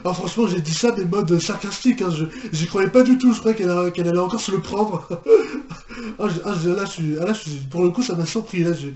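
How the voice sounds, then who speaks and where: A man giving casual reaction commentary as a video host, halting and often restarting his phrases. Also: high-pitched, a little mellow. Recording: roomy home recording with background noise.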